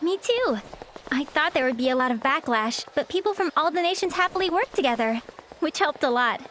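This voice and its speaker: High-voiced, sweet earnest teenage girl. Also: lively intonation, fairly quick, slight background noise. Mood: earnest, pleased. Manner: gentle, polite, earnest. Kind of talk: anime game acting and story narration.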